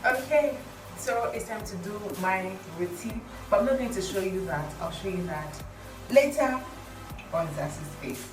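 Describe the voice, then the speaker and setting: A woman with a croaky morning voice, chatting to camera in a skincare vlog and repeating her advice for emphasis. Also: medium pitch, lively intonation, measured pace, over music, poor audio. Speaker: skincare vlogger. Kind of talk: casual talk to camera.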